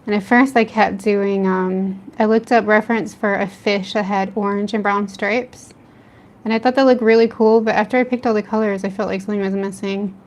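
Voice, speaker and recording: A woman's voice: medium pitch, fairly even intonation, normal speed. Chatty American woman streaming her drawing. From East Coast of the United States. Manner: chatty and easygoing, laughs often between remarks. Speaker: art streamer. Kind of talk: casual chat while drawing, answering live chat.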